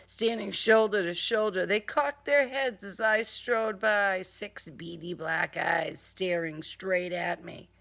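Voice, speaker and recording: A woman's voice: mid-range voice, slow pace, gravelly, hardly any pauses, fairly bright tone. A woman reading a crime story in a dramatic first-person style, with a sardonic, theatrical delivery. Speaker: author reading own crime novel.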